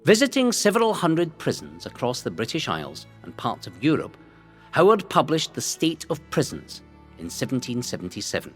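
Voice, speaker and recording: Expressive male narrator over music. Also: medium pitch. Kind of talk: scripted historical audio guide narration.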